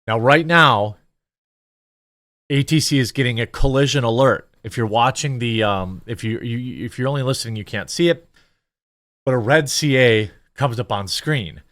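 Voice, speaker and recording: Low-pitched man, casual news commentator. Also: normal speed, fairly bright tone, no echo, studio quality. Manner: conversational, hesitant, thinking aloud. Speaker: commentator and show host.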